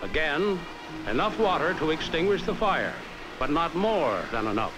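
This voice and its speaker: Animated 1950s American training-film narrator, male. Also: a little high, moderate pace, low-quality recording. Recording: vintage 1950s film soundtrack. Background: background music.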